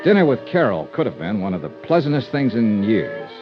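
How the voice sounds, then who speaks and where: A man's voice: fairly low pitch, very animated, fairly quick. An American man playing a wry, hard-boiled investigator who narrates his case in a clipped 1950s radio drama style. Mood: dry narrating. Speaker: lead actor and narrator. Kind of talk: 1950s radio detective acting with first-person narration. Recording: dull vintage radio recording with music.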